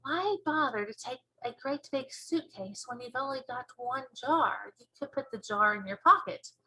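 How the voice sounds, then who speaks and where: Plucky young girl for a read-aloud, done by a woman; bright, high voice, gentle and questioning. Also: lively intonation, moderate pace, slightly rough, heavily acted, rough recording. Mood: curious, polite. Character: small young girl, earnest, worried yet plucky.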